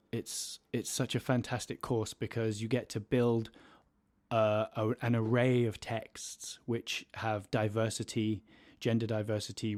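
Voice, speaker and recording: A man's voice: on the low side, a little mellow. A man in his thirties, a teacher co-hosting a casual podcast with a playful, self-mocking humor. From England.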